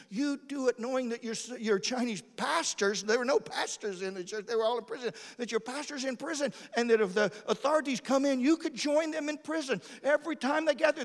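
A man preaching a storytelling sermon as a missionary, emphatic and dramatic, repeating phrases for effect. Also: very high-pitched. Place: large church or hall. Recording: hall reverb from a large room.

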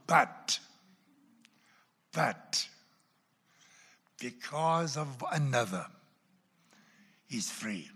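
Male Welsh pastor, Texan traces, lively sweeping pitch, long reverberant church hall. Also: very slow, pauses often, slightly rough. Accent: Welsh with Texan and Oklahoma traces. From Wales. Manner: dramatic, wide swings from loud emphasis to hushed asides. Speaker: pastor teaching a class. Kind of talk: sermon-style Bible teaching.

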